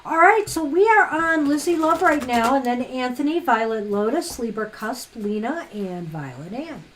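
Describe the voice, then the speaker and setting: A woman co-hosting a live-stream tarot reading, chatty and fast-talking, laughing often. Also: sits high, lively intonation, background noise. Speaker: tarot reader co-host.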